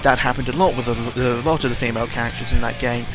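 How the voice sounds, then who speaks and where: A man's voice: mid-range voice, fairly even intonation, quick pace. A young man ranting casually about video games, exasperated and full of fillers. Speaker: gaming vlogger. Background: video game music.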